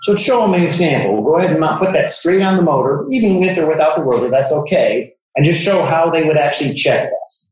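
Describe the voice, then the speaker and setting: A man's voice: fairly high pitch, animated, fast, hardly any pauses, a little hiss or hum. Man explaining equipment in a very echoey room. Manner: explanatory, step by step. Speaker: product expert from an encoder manufacturer. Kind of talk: technical demonstration commentary.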